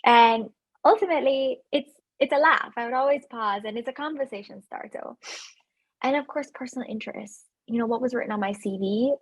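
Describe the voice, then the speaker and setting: Upbeat Jamaican woman, slightly husky voice. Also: fairly high pitch, brisk. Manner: chatty, upbeat, many fillers and restarts. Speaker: physician giving residency interview advice. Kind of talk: advice talk to camera.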